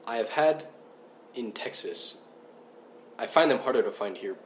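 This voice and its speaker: A man's voice: medium pitch, lively intonation, measured pace. A man co-hosting a casual chat, asking curious questions and laughing easily. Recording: echoey room with faint noise.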